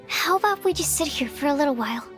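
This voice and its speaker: A bookish young female alchemist from a video game, with a very high, girlish pitch. She is earnest and socially awkward, choosing her words with halting care. Soft music plays along behind her. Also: animated. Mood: gentle, hesitant. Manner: hesitant, soft-hearted, halting phrasing.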